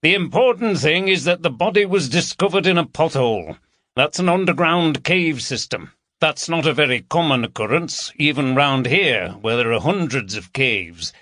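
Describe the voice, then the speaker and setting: A man's voice: fairly high pitch, lively intonation, moderate pace, sharp tone, dry recording, clean studio sound, voice pushed up. A man voicing a jovial, teasing middle-aged Yorkshire police chief with a broad, bantering manner. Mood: hearty. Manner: hearty, bantering, broad dialect. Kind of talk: audiobook character voice.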